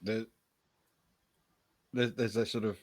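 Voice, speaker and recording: Measured game master. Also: male voice, on the low side, little pitch movement. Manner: measured, halting with small restarts. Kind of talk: storytelling recap and stream announcements.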